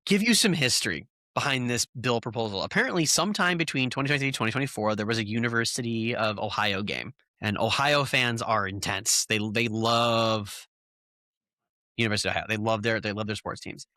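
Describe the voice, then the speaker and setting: Podcast host, animated and sarcastic, bright dry sound, recording at home. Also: mid-range voice, fairly quick, no room sound. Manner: animated, incredulous, sarcastic asides. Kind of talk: casual podcast chat.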